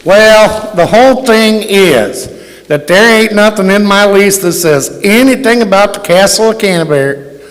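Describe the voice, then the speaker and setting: A man's voice: sits high, expressive, unhurried. A man playing a blunt, stubborn, folksy American father in an old-time radio play on stage. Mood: indignant, stubborn. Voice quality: folksy, ungrammatical rural speech. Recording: echoey hall, stage performance.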